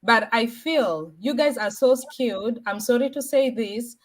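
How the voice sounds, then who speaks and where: A woman's voice: fairly high pitch, measured pace. A woman with a Kenyan accent speaking as a panelist in a political debate, arguing earnestly and repeating her points.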